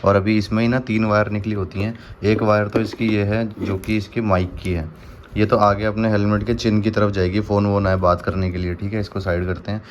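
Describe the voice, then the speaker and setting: A man's voice: on the low side, level tone, fairly quick, highs cut off, a little hiss or hum. A man giving a casual hands-on product demonstration, chatty and informal, talking straight to viewers like a vlogger. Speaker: motorcycle vlogger and product reviewer.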